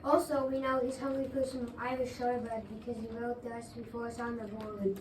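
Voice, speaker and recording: A woman's voice: a little high, level tone, brisk, darkish tone. A woman reading a children's story aloud in a readers' theater. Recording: echoey room, distant mic, faint noise.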